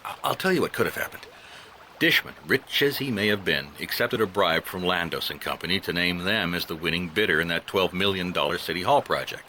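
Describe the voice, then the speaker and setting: An actor playing a shrewd, dogged private detective in a radio drama, wry, deliberate and accusatory. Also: male voice, low voice, pushed and bright, a little hiss or hum.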